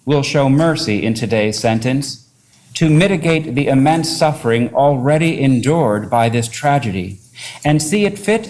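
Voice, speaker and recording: A man, a pastor, reading a formal character statement to a court in a measured, earnest way. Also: medium pitch, darkish tone.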